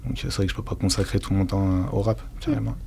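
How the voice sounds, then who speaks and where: A man's voice: deep voice, flat delivery, fast, gravelly, a little mellow, a little hiss or hum. A young man answering as a guest rapper in casual, laid-back, slangy interview chat.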